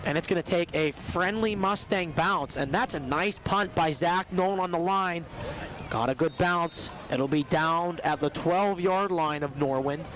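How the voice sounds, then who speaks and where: A man with a high, squeaky voice calls a football game play by play. He is a little under the weather but stays quick and energetic through the action. His tone is that of live sports commentary. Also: slight background noise. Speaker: play-by-play announcer.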